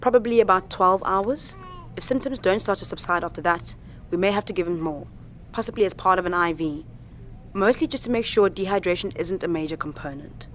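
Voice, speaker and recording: An actress playing a calm, practical makeshift medic among survivors, explaining things in a measured, reassuring way in an audio drama. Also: female voice, on the low side, lightly acted. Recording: faint background noise.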